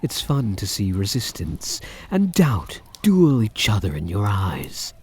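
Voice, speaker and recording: A man playing a possessive, seductive vampire count in a soft-spoken ASMR roleplay, teasing and menacing in an intimate, deliberate way. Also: lowish voice, heavily acted. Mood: smug, teasing. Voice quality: soft, husky.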